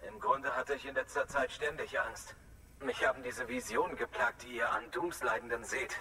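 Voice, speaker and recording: A man's voice: medium pitch, normal speed, pushed and bright, recorded from a distance, slight background noise, lightly acted. An actor playing an anxious, relieved male scientist in a dubbed video game, speaking earnestly and confidingly. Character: anxious, relieved male scientist hologram, devoted to his research.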